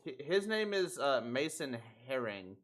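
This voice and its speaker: A man's voice: mid-range voice, sing-song, unhurried. A man hosting a casual podcast, reading out a news story and commenting on it in an emphatic, indignant, swearing manner. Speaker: podcast host.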